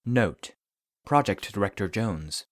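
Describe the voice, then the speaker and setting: A man's voice: fairly low pitch, expressive, takes it slowly, no echo, clean studio sound. A man reading scripted fictional case files as a narrator, in a formal, clinical report manner.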